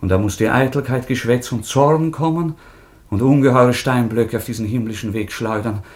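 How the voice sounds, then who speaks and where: A man's voice: medium pitch, moderate pace, lightly acted. A man playing a passionate, impulsive young nobleman in a radio drama, with a lyrical, fervent, declamatory delivery. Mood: anguished, lyrical. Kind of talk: theatrical German radio drama acting.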